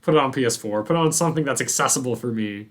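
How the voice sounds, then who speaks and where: A man's voice: mid-range voice, rapid-fire. Guy hosting a gaming podcast, informal and into it, just chatting. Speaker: podcast host. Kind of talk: casual gaming podcast chat.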